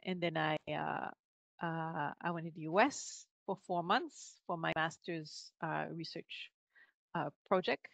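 A woman's voice: fairly low pitch, gravelly, a bit bright, no room sound. A middle-aged woman with a French Canadian accent, chatting as a guest on a video call and laughing often between filler words. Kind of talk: casual interview conversation. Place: home office with bookshelves. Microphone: podcast mic on video call.

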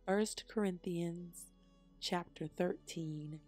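A woman narrating a children's storybook aloud with deliberate, expressive phrasing. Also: on the low side, soft background music. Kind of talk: read-aloud scripted narration.

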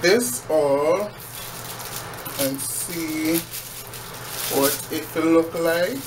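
A man's voice: a little high, extremely slow. A young man casually talking through a DIY project in his home bathroom, chatty with playful asides. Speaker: DIY vlogger. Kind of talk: casual how-to vlog. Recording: echoey small room, faint background noise.

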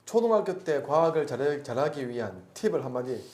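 A man's voice: medium pitch, very animated, brisk, darkish tone, slight background noise. A man with a Busan accent doing casual on-location interviews with playful, teasing questions.

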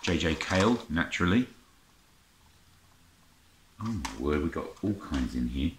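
A man's voice: rumbling low, very animated, unhurried. A middle-aged man chatting casually and unscripted to camera as a vlogger, with muttered asides and exclamations as he browses. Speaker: vlogger showing a music haul. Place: indoor room lined with record shelves. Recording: roomy echo, faint noise, clicking handling sounds.

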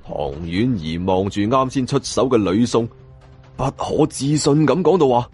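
Male Cantonese audiobook narrator, dramatic delivery with animated, wide-swinging intonation over background music. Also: mid-range voice, measured pace, slightly rough. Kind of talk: dramatic scripted first-person story narration.

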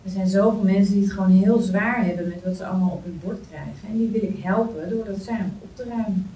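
A woman's voice: mid-range voice, quick pace, mellow, natural voice. A woman playing a chatty, idealistic young woman with an earnest, informal manner at a script table read. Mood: dreamy, earnest. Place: meeting room. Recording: roomy, distant mic, faint noise.

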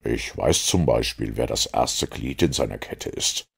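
A man voicing a self-assured master detective who lectures in a measured, superior way in an audiobook. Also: very deep voice, lively intonation, creaky, husky, full character voice. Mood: lecturing, confident. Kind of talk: audiobook character dialogue.

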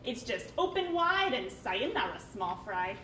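A woman's voice: on the high side, sing-song, measured pace, bright, forceful tone, heavily acted. Sly, grumpy puppet anglerfish, female actor, heard from afar in a noisy room. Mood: brash, grumpy. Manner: brash, sarcastic. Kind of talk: live puppet show. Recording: roomy, distant, noisy theatre recording.

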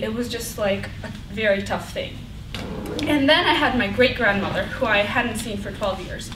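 A woman's voice: on the high side, far from the mic. Young woman telling a story in an echoey hall. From Bulgaria. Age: young adult. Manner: earnest, animated storytelling. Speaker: student speaker. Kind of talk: personal story talk. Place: lecture hall with brick walls. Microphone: far room mic. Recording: echoey hall recorded from afar, noisy.

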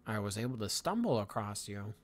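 A man playing a tender, wistful young Viking dragon trainer in a soft-spoken ASMR boyfriend roleplay, speaking intimately and haltingly. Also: on the low side, sing-song. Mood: wistful, amazed. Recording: clear background ambient noise.

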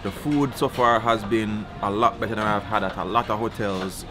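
Young man, low, chatty vlog. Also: moderate pace, over music. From Jamaica. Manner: relaxed, chatty, food-tasting commentary. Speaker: vlogger. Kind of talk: casual travel vlog. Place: cruise ship buffet dining room, indoors. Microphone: camera held at arm's length.

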